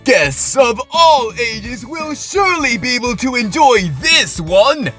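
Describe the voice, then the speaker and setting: A man's voice: very high-pitched, expressive, slow, bright, forceful tone, faint music behind, exaggerated voice. A man voicing a theatrical young male troupe star in an anime fan dub, grandiose and declamatory. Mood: proud, enthusiastic.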